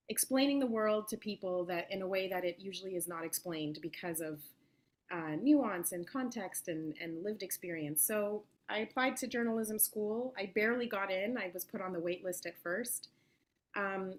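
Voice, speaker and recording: A woman in her thirties, a journalist, giving a thoughtful and articulate account of her path into her work, with soft fillers. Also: on the low side. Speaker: guest journalist. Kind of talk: reflective interview answers.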